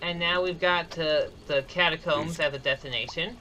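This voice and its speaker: A man's voice: on the high side, steady tone, normal speed, pushed and bright, highs cut off, slight background noise. A man commentating his own speedrun live. He explains each step casually, often restarting sentences, with light chuckles. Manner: explanatory, frequent restarts and self-corrections. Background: game music.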